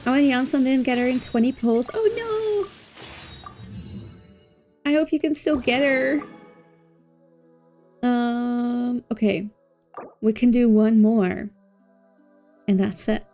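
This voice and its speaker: A woman's voice: on the high side, slow pace, long pauses, dark tone, soft background music. A woman livestreaming a game, chatting casually and playfully with her viewers, repeating words and drawing them out. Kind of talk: casual gaming stream chat with viewers.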